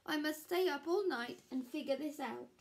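A woman's voice: high-pitched, moderate pace, pitched above the performer's own voice. A woman voicing an anxious, easily flustered square block character in a storybook, hesitant and lamenting. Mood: despairing.

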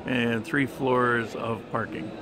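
A man talking through a casual walking travel vlog in a shopping mall, relaxed and chatty with plenty of fillers. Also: medium pitch, slow, a crowd in the background. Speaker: travel vlogger. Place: outdoor mall terrace and shopping center. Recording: handheld camera with street and mall noise.

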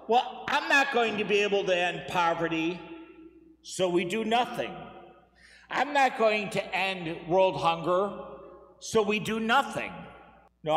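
A man in his thirties, a priest giving a Sunday homily at a lectern, speaking in a measured, earnest way with deliberate pauses. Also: fairly high pitch. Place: church sanctuary, at a lectern. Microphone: lectern mic, far camera. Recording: echoey church with long reverb.

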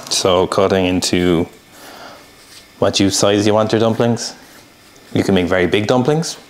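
A man's voice: fairly low pitch, a little slow, slight background noise. An Irish man in his thirties explaining how to make dumplings in a home kitchen, laid-back and dry, recorded by a camera a few feet away. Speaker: cook demonstrating a recipe. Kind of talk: casual cooking demo chat.

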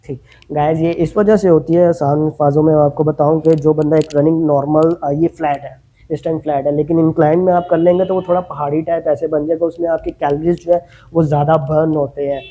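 Brief: male vlogger, Pakistani Urdu accent, dark husky voice, lo-fi lapel sound in a showroom. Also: on the high side, level tone, brisk. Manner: chatty, addresses viewers as guys. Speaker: YouTuber host. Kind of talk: casual product review. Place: indoor gym equipment showroom.

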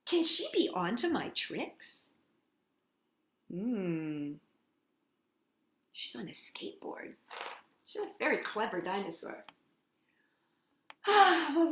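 A woman's voice: fairly high pitch, a bit bright, reverberant. A woman hosting storytime for young children, reading a picture book expressively and addressing the children directly with questions. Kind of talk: picture-book read-aloud for young children with asides and sing-song greetings.

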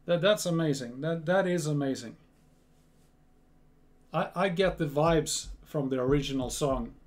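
Man, Swedish accent, slightly high voice, unhurried, repeats himself, casual home webcam talk. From Sweden. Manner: slow, repeats words, restarts. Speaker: reaction video host. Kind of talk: casual reaction commentary. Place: small home room full of gear.

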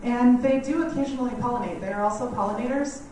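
Quiet woman lecturing in an echoey hall. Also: a little high, quick pace. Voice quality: quiet, slightly scratchy voice. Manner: friendly, chatty, laughs often, many ums. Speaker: guest speaker on native bees. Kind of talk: community lecture. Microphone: standing mic heard from a distance. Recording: echoey hall, mic far off, faint noise.

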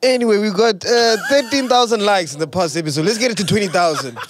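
A South African man in his thirties hosting a podcast in a studio, talking loudly into a boom-arm mic in lively banter. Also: fast talker, noisy background. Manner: loud, lively banter, reads sponsor lines. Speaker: podcast host. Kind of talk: casual chat. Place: studio with yellow backdrop.

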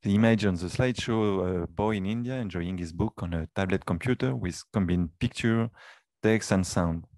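A man with a low voice explains slides as a webinar presenter. His English has non-native phrasing, and the sound is dry and close. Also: normal speed, darkish tone, no echo. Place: indoors, webinar call.

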